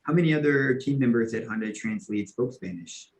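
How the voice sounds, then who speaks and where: A man hosts a career interview and asks his guest questions. His manner is measured and plain. His voice sits at an ordinary middle pitch. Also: animated, low-quality recording. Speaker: interview host.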